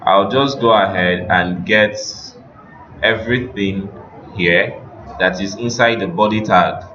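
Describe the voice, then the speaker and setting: Deep-voiced man teaching in a roomy space. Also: slow pace, sharp tone, slight background noise. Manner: repetitive step-by-step explaining, checking understanding. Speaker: web development instructor. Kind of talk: informal live software tutorial. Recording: roomy, dull audio with music behind.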